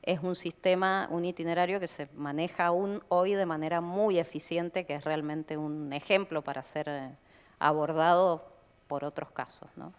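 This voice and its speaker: A woman's voice: on the low side, fairly even intonation, normal speed, clean tone. A woman answering on a conference panel, thoughtful and hesitant, with frequent fillers and tag questions. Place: conference hall. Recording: long hall reverb.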